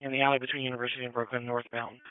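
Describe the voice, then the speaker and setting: A man's voice: mid-range voice, level tone, very fast, heavy background noise. A man working as a police dispatcher over two-way radio, tersely repeating reports back in clipped procedural phrases. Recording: narrow-band police scanner radio audio.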